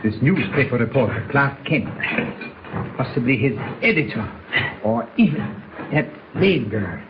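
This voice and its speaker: Menacing spy doctor, noisy old recording. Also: male voice, mid-range voice, expressive, unhurried. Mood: menacing, grim. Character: menacing foreign spy doctor, grimly resolute villain. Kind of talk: 1940s radio serial acting. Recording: noisy old 1940s radio recording with clicks.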